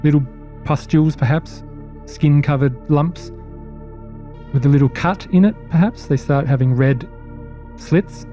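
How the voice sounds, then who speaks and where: A man running a tabletop horror role-playing game as game master, narrating in deliberate, suspenseful phrases. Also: on the high side, mellow. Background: faint music.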